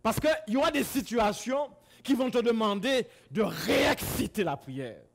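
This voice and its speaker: Animated preacher from Guadeloupe. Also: male voice, measured pace, creaky. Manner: swings from loud exclamations to low asides, repeats words. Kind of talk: sermon. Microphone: camera-recorded.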